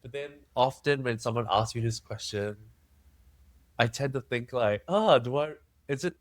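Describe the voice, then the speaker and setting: A young man from Singapore, talking candidly and thoughtfully as a podcast guest, often pausing and restarting. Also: medium pitch, no echo. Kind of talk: candid conversational interview.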